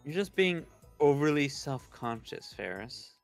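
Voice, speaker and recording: A man's voice: mid-range voice, lively intonation, measured pace, creaky, soft background music. A man voicing a grandiose, pompous young self-styled mad scientist from a visual novel. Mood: earnest. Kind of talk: reading visual novel dialogue aloud.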